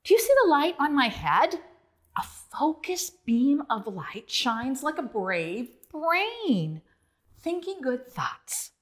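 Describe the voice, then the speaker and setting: A woman's voice: high-pitched, sing-song, slow, full character voice. A woman voicing a comforting, encouraging male animal mentor in a children's storybook, coaching with emphasis. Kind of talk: children's storybook read-aloud.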